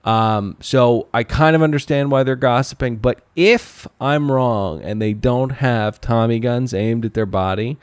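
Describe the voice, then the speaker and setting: A man co-hosting a casual comedy recap podcast, talking in a halting, profane, sarcastic way. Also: medium pitch, smooth, no echo. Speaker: podcast co-host.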